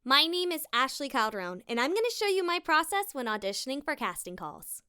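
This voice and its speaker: Casting: female tutorial host, high-pitched, playful and theatrical, lively swings in intonation. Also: fairly quick, clear, resonant tone, no echo, clean studio sound. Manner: animated, theatrical emphasis. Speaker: voice actor and video host. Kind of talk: scripted, playful tutorial narration.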